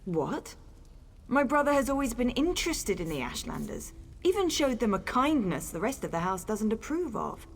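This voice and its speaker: A woman's voice: fairly high pitch, sing-song, moderate pace, breathy edge, exaggerated voice. A woman playing a wary, sardonic young fugitive in a fantasy video game, with a wry and feisty manner. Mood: disbelieving, troubled. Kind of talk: video game character dialogue.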